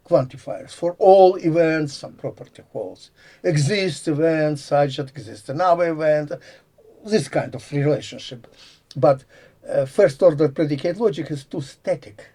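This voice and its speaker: Older Latvian-accented man explaining slowly. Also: on the high side, warm tone, breathy edge. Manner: drops articles, thinks aloud with pauses. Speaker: guest, computer science professor. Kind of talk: explanatory conversation.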